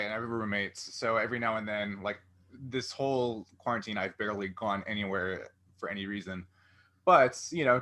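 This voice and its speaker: A man's voice: fairly low pitch, bright, forceful tone. An American man hosting a casual interview, talking in a rambling, self-deprecating way. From southern New Jersey, United States. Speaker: interview host.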